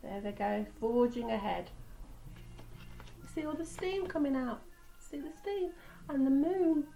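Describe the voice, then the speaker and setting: Woman doing storytime, teacherly, highish voice, bit of background noise. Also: a little slow, vocal fry, mellow, poor audio. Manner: teacherly, pointing out pictures and repeating words. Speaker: storyteller reading a children's picture book. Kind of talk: read-aloud storytelling with asides to children.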